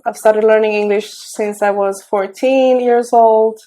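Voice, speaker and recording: A woman from Romania speaks with a Romanian accent as an interview guest on a video call. Her voice is warm and mellow, and she talks hesitantly, pausing on fillers and restarting her sentences. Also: on the high side, steady tone. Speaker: guest, tech mentor.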